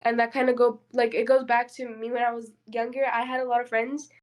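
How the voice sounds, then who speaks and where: A woman's voice: on the high side, level tone, a little fast. A young woman whose first language is Spanish, speaking openly and personally on a discussion panel, with a lot of fillers. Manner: open, personal, many fillers.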